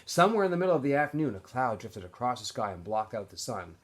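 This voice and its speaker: A man narrating a novel aloud in an expressive storytelling style, as if for students. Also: mid-range voice, fast, husky. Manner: animated storyteller. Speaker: teacher or school staff reading aloud to students.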